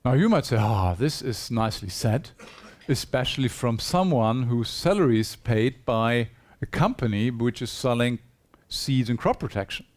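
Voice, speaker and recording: A man's voice: medium pitch, lively intonation, normal speed, a little mellow. A man giving a conference presentation in a hall, earnest and persuasive, putting rhetorical questions to his audience. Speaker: conference speaker.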